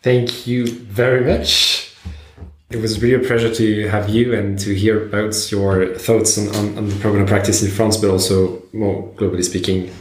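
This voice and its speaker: A man's voice: fairly low pitch, flat delivery, normal speed, roomy sound, noisy background. A man hosting a podcast, asking questions and giving a polite, formal sign-off. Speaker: podcast host. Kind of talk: interview questions and outro.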